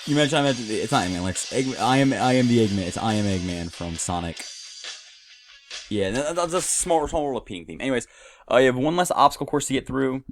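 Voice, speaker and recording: A man's voice: medium pitch, lively intonation, a little fast. A man giving casual, rambling gameplay commentary, full of enthusiastic fan asides and rephrasing as he goes. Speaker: gamer commentating a playthrough. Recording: game music playing behind voice. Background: video game rock music.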